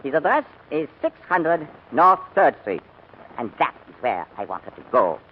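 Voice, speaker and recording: A man's voice: on the high side, very animated, moderate pace. A man playing a curt, menacing major in 1940s radio drama, clipped and commanding. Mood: cold, businesslike. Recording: noisy, dull old radio recording.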